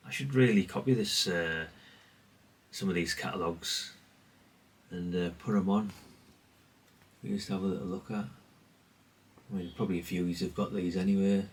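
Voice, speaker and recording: A man's voice: low voice, moderate pace, pauses often, husky, distant mic. A man giving a casual, rambling, understated show-and-tell, speaking off the cuff. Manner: rambling, self-effacing, understated. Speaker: hobbyist presenter.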